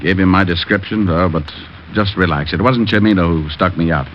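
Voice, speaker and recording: A man's voice: low-pitched, a little fast, slight background noise, lightly acted. A man playing a cocky, wry private detective in 1940s radio drama style. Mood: brisk, reasoning. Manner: wry, flippant. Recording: dull old radio recording, faint music.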